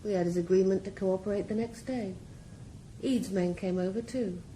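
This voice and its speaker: A woman playing a cool, menacing crime boss in a radio drama, speaking in measured tones. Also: medium pitch, dark tone, a little hiss or hum, natural voice, poor audio. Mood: cold. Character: cold, calculating female crime boss.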